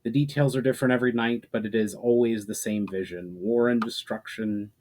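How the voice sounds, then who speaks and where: A man's voice: mid-range voice, fairly even intonation, a little fast, a little mellow, natural voice. A man voicing an earnest, troubled mage in a storybook reading, grave and explanatory in manner. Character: troubled, earnest male mage haunted by visions.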